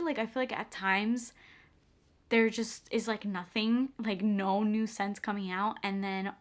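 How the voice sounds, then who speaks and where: A young woman whose first language is Albanian talks through viewer questions in a relaxed vlog. Her speech is chatty and loose, with "like" dropped in constantly. She is recorded indoors at home on a camera mic. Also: medium pitch. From Albanian heritage. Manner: chatty, frequent "like" fillers. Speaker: beauty and perfume YouTuber. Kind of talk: casual get-ready-with-me Q&A chat.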